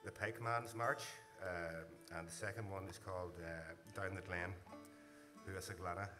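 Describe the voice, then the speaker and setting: Northern irish fiddler chatting between tunes, lowish voice, loud instruments around him on stage. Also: male voice, measured pace, over music. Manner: relaxed, joking, fillers. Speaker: traditional musician. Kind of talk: casual onstage tune introduction. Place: concert hall stage.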